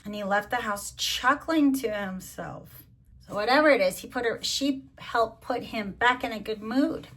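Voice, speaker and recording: A middle-aged or older woman doing a storybook read-along, chatting to child listeners and gently asking them questions. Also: a little high, moderate pace. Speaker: grandmother reading to children.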